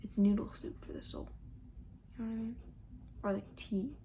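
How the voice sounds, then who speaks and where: Soft, half-whispering woman in casual ASMR. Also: mid-range voice, wildly swooping pitch, normal speed, a little hiss or hum. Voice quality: soft, breathy, often dropping to a whisper. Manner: gentle, chatty, colloquial, rambling. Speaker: ASMR roleplay performer playing a camp counselor. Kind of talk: soft-spoken ASMR roleplay, casual chat. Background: banjo.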